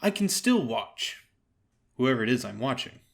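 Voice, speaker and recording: A man's voice: mid-range voice, very animated, moderate pace, creaky. A man playing a glum minor detective with wounded pride, speaking in a subdued, curt, guarded manner for an audio drama.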